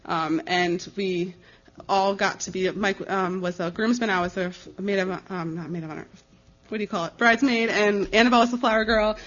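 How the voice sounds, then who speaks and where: A young American woman speaking as a missionary, giving an informal slide presentation in a conversational, earnest and halting way. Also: medium pitch, fairly even intonation, fairly bright tone. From United States. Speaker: missionary giving a ministry report. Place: live talk before an audience. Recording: dull, slightly lo-fi recording.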